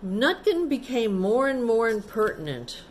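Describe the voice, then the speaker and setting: A woman teacher reading a picture book to children, pausing to explain things simply and ask the young listeners questions. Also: on the high side, big pitch swings, slight background noise. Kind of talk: storybook read-aloud with chatty asides to children.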